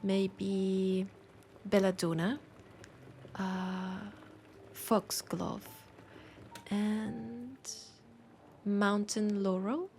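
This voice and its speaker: A woman with a French accent playing a warm, teasing, caring bookseller in an intimate roleplay audio, speaking softly and hesitantly with pauses. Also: mid-range voice, flat delivery, a little hiss or hum. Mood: light, playful. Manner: soft, hesitant, gently teasing, unhurried with pauses. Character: warm, teasing, worried mortal bookseller woman, fond of a vampire.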